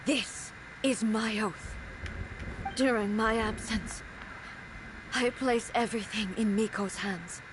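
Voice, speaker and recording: A woman's voice: a little high, steady tone, slow pace, long pauses, noisy background. A woman playing a solemn, resolute female warrior deity in a video game, speaking in a formal, grave, declamatory manner. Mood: solemn resolve. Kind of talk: dramatic video game voice acting. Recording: game music behind the voice.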